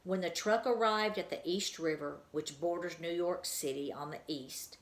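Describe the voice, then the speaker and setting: A woman reading a children's book aloud as a teacher, with an expressive storytelling manner, in an echoey room. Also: on the low side, moderate pace.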